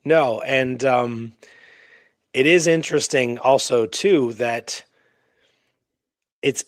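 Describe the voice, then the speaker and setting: A man with a mid-pitched voice talks history as a show's co-host. He is emphatic and conversational, breaking his delivery with halting asides. The sound is dry and close, with little room in it. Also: dry recording. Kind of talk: conversational history discussion with read-aloud documents.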